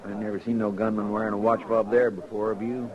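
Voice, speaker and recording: An actor playing a folksy, deferential deputy sidekick in an old radio western, earnest, with rustic dialect. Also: male voice, fairly low pitch, flat delivery, quick pace, a crowd in the background, natural voice. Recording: dull, noisy old radio recording.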